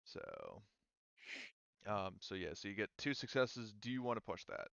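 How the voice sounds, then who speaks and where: A man working as game master, casually explaining tabletop game rules in a halting, rambling way. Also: lowish voice, wildly swooping pitch, gravelly, a bit bright, no echo, muffled recording.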